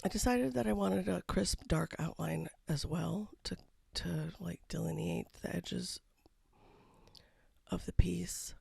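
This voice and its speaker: An artist talking casually over a painting time-lapse, with a froggy voice from a cold and a low-key, rambling manner. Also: slow, creaky, a little mellow, breathy edge, dry recording, clean studio sound. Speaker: watercolor artist. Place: home studio.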